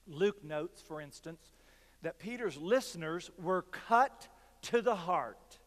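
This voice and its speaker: An older man delivers a sermon from the pulpit at a very unhurried pace, pausing between phrases. His voice sits a little high for a man, and it rings out with a long reverb through a large church sanctuary. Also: animated. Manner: slow, measured preaching with dramatic pauses. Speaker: pastor.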